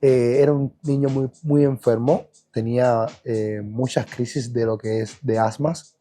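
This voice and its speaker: A Cuban man with a Cuban Spanish accent tells his life story at an easy, thoughtful pace, pausing on fillers and restarting phrases. His voice is mellow and dark in tone, at a middling pitch. Soft music plays faintly behind him. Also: fairly even intonation. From Cuba. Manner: reflective, unhurried, with many fillers and restarts. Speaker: guest dancer. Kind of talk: podcast interview, personal storytelling.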